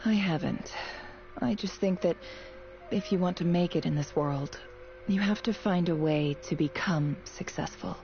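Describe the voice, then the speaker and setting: A low, gravelly-edged young student. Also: female voice, a little slow, muffled recording, music in the background. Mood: earnest, bitter. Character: young female academy student, guarded, wry and matter-of-fact. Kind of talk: video game voice acting.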